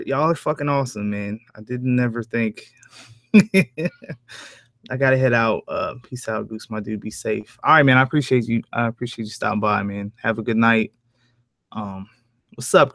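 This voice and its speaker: A young man with a soft voice and a middling pitch hosts a casual livestream. His talk rambles along in loose slang with plenty of swearing. The sound is close and dry, with little room to it. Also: no room sound. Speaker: livestream host, horror story narrator. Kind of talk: casual livestream chat with viewers.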